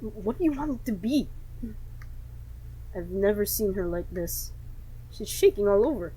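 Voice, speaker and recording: A woman's voice: mid-range voice, expressive, unhurried, warm tone, slight background noise, rough recording. A woman giving casual, wry let's-play commentary on a game, reacting in asides and reading some lines aloud. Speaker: gaming channel streamer.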